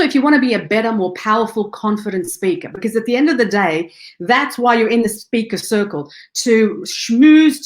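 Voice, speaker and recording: Young woman sales coach, hyped up and punchy, kinda high voice, echoey room. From Afghanistan. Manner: upbeat, punchy motivational delivery with rallying catchphrases. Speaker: speaking and sales trainer. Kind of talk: energetic online training presentation.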